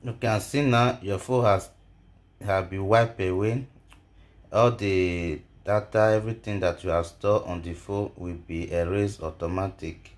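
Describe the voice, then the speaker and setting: A man narrating a step-by-step phone tutorial as a video host, halting and repeating himself. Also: low voice, steady tone. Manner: halting, repetitive delivery.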